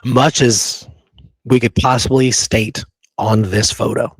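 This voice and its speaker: A man co-hosting a history show in casual discussion, talking informally and haltingly with frequent asides. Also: mid-range voice, fairly even intonation, husky, dry recording, low-quality recording. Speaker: co-host of a history talk show.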